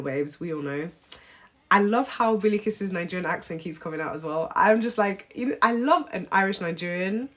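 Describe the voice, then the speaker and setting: Brief: woman in her twenties, sassy, animated, opinionated recap vlogger. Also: mid-range voice. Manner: animated, sassy, mimics cast members. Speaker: reality show recap vlogger.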